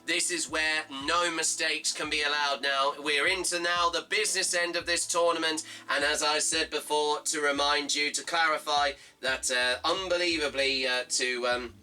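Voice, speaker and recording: A slightly hoarse man hosting a fan football show, reading out results and previewing a match in a halting way, full of fillers and reading off his notes. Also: a little high, fairly even intonation, pushed and bright, soft background music, poor audio.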